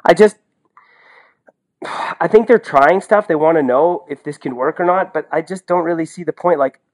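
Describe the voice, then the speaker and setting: A Canadian man giving casual, opinionated sports analysis in an animated, colloquial way, as a podcast co-host. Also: on the high side, moderate pace. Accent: Canadian English. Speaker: podcast co-host and ski commentator.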